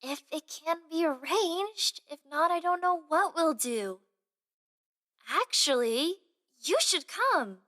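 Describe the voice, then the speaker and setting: An actress plays a young palace woman who loves to gossip, in a fantasy audio drama. Her voice is high and expressive. She is chatty and excitable, with a theatrical delivery. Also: slow, exaggerated voice. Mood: confiding, insistent.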